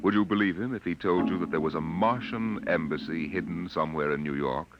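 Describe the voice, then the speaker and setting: A man's voice: low-pitched, brisk. A man narrating a dramatic preview in 1950s radio style. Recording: dull 1950 radio broadcast over loud music.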